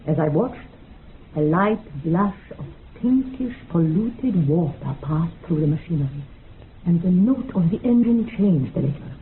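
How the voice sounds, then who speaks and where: A woman's voice: fairly low pitch, lively intonation, a little slow. Radio drama casting: female mystic, solemn and certain, chant-like literary delivery, tinny lo-fi track. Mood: rapt, reverent. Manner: incantatory, grandly poetic. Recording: tinny narrow-band radio sound, faint noise.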